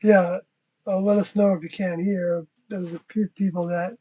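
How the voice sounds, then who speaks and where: A person chatting casually as host of a livestream, in a meandering, unhurried manner. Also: mid-range voice, flat delivery, warm tone, husky. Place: inside an RV. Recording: muffled, dull livestream audio.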